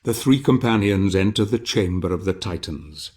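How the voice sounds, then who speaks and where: A man playing a grave, portentous chronicler who narrates a fantasy quest in a solemn, measured way. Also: low-pitched, animated, a little mellow, faint music behind. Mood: grave, foreboding. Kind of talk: solemn scripted storytelling narration.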